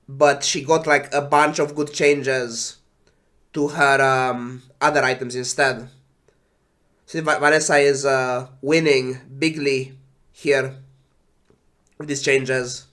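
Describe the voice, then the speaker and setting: A man who is sick and congested, sighing and talking slowly while casually reading game patch notes on a stream. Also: a little high, a bit bright. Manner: slow, sighing, trailing off. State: congested with flu.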